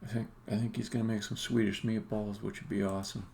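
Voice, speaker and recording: Gravelly, low-voiced man rambling on a stream. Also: level tone, darkish tone, slight background noise. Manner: rambling, opinionated, halting then emphatic. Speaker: indie game developer, streamer. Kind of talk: casual live-coding commentary.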